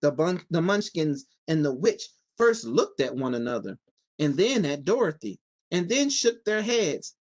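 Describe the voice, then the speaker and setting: Male narrator, children's storybook reading, expressive delivery, highly varied intonation. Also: measured pace. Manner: animated, changes voice for each character. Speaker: library storyteller reading aloud.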